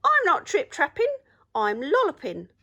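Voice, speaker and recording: A woman's voice: huge pitch swings, unhurried, sharp tone, full character voice. A woman voicing a crafty, pleading long-eared rabbit in a children's storybook. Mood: cheeky. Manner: high, exclaiming, pleading.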